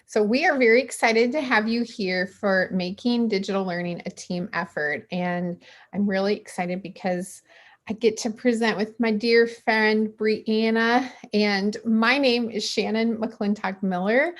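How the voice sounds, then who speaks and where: A school librarian from Iowa opening and hosting a webinar in an upbeat, welcoming way. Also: female voice, medium pitch. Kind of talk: webinar presentation.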